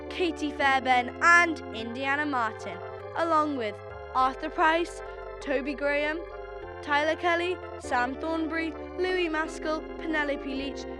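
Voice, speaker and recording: A young woman announcing and narrating a radio drama in a scripted storytelling style. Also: high voice. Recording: music behind the voice. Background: music.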